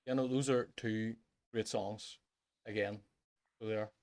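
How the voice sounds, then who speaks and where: A man with a Northern Irish accent and a fairly low voice talks casually as a music podcast co-host. His phrasing is emphatic and often repeats itself, in an easy conversational style. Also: steady tone, measured pace. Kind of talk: casual chat about music.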